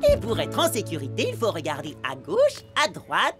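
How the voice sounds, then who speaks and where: Naive clumsy lil cartoon boy creature, super animated, excitable and muddled, loud music under it. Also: lowish voice, moderate pace. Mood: eager, encouraging. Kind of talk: animated children's cartoon dub.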